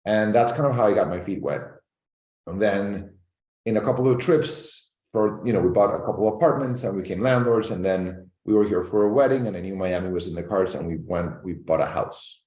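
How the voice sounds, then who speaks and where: A man tells his story in a fast, animated interview. His voice is very low and mellow. It sounds like he is in a roomy, echoing space. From Venezuela. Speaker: real estate agent guest. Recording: echoey room.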